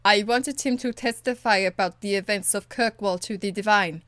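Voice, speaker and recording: A woman's voice: medium pitch, moderate pace, fairly bright tone, no echo, lightly acted. A woman voicing a blunt, stern and dutiful warrior in a video game, speaking in a curt, earnest, formal way. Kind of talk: video game dialogue acting.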